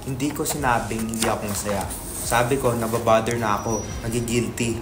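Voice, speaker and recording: A man's voice: lowish voice, fairly even intonation, brisk, music in the background, natural voice, poor audio. A man playing a doubtful, guilt-ridden young writer in a dramatic script reading, reluctant and questioning. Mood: guilty, pleading.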